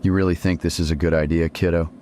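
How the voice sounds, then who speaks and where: Man acting super deep dad voice from beyond the grave, measured, gently scolding, audio drama, a bit of noise behind. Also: level tone, lightly acted. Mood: admonishing. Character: dead father's imagined voice, measured, admonishing, paternal.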